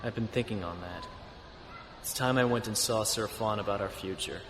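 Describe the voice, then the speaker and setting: An actor playing a calm, thoughtful male starship captain, measured and understated, in a sci-fi audio drama. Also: fairly low pitch, slight background noise, natural voice. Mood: reflective.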